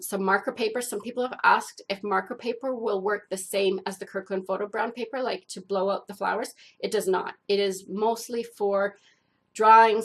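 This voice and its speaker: Stuffy-nosed woman with a gravelly voice. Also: medium pitch. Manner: chatty, rambling with many fillers. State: stuffed up from a cold. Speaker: art channel host. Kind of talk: casual talk to camera.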